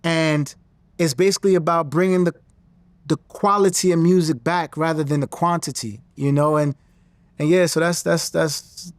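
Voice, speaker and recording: A man, talking in an interview in a confident, animated way and often restarting his sentences. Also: a little high, normal speed, no room sound. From Nigerian-American. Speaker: interview guest, singer and actor. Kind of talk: casual interview talk.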